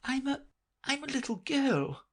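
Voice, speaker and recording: Polite storybook girl, high voice, earnest protests; woman voicing. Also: sing-song, unhurried, slightly rough, heavily acted. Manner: polite, earnest, a little indignant. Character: puzzled polite little girl, truthful and indignant.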